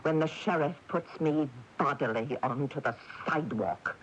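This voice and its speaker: A woman's voice: low voice, moderate pace, close to an everyday voice. An actress playing a proud, anxious woman in her seventies with an emphatic, snappish manner, in a radio drama. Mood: defiant. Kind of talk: 1980s radio drama acting. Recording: dull, hissy 1980s AM radio recording.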